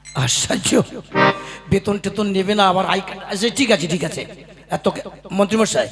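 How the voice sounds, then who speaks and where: A man's voice: high voice, animated, a little fast, loud music behind the voice. A man voicing a grumpy, puzzled king who scolds and gives in to a pleading subject in a comic puppet show. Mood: exasperated. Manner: exasperated, lordly scolding.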